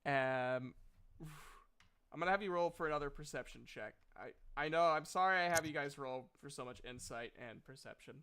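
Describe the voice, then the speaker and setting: A man's voice: on the high side, normal speed, bright, forceful tone, clear, resonant tone. A man running a tabletop role-playing game as game master, narrating and guiding players in a playful, teasing way.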